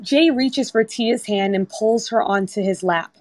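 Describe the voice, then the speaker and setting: A woman's voice: a little high, a little slow, darkish tone, no echo. A woman reading scripted screenplay narration as the narrator of a table read. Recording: online group voice-chat app.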